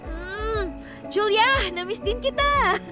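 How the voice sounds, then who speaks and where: A woman's voice: very high voice, slow. A woman acting a bubbly, affectionate, cheeky young woman in a radio drama, playful and exclamatory, over music. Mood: excited, affectionate. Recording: clear music under the voice.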